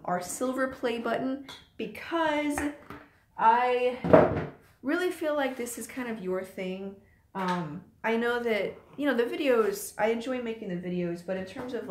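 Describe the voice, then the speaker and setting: A young woman in her late twenties talks in a loose, rambling way, filling her pauses with ums. She hosts her own channel from a room at home. Her voice sits at an ordinary middle pitch, and the room gives it a noticeable echo. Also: slight background noise. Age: late 20s. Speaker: channel host. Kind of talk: casual live-stream chat. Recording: echoey room.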